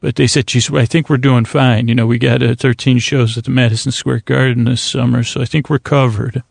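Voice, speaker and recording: A man with a creaky voice hosting a bedtime podcast, delivering a silly, meandering monologue with hesitant, looping tangents. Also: mid-range voice, little pitch movement, brisk, dry recording, clean studio sound.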